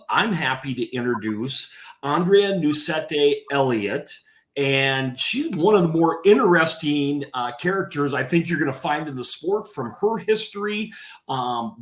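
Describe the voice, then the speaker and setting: A man hosting a podcast, introducing a guest in a halting way with many ums and uhs. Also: on the high side. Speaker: podcast host. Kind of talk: casual interview hosting. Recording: echoey room.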